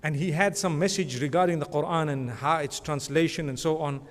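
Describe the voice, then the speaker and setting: A man's voice: on the high side, brisk. A man presenting an award, speaking in a rambling, conversational way and often adding religious blessings. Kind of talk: speech at an awards ceremony. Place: large conference hall. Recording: long hall reverb.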